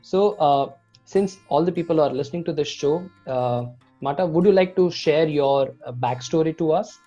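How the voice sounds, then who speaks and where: A man hosts an interview show, opening with formal, scripted introductions before questioning his guest. The audio is dull and lo-fi. Soft guitar music plays faintly underneath. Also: fairly high pitch, moderate pace. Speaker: host, video creation coach.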